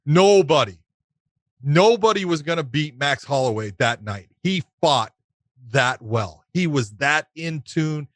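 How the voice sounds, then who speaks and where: A man's voice: a little high, lively intonation, a little slow, fairly bright tone, no room sound. A man co-hosting a casual sports podcast, a former referee who stresses his points by repeating them.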